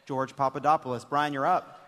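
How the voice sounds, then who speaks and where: Guy hosting a comedy quiz, highish voice, big echoey room, lots of noise. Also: fairly even intonation, brisk. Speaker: comedy show host and quizmaster. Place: live stage before an audience. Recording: echoey hall, loud background noise.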